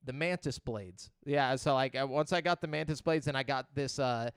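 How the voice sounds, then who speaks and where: A man's voice: medium pitch, normal speed, clear, resonant tone, no room sound, studio quality. A man hosting a casual podcast, reading game release news in a loose, profane, joking way and stumbling over names. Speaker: podcast host.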